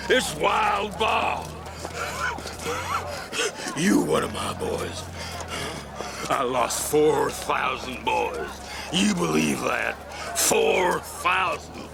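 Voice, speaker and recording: An actor playing a feverish, dying colonel in a radio drama, rambling and rallying his boys in a strained, gravelly shout. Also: high-pitched, heavily acted. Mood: desperate, grieving. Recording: noisy outdoor scene, crowd behind.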